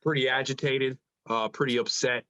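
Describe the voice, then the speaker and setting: A man's voice: medium pitch, fairly even intonation, normal speed, a bit bright, smooth, no room sound, highs cut off. A man with a stuffed-up, congested nose from allergies, co-hosting a casual podcast with blunt, joking hot takes. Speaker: podcast co-host. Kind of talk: casual banter.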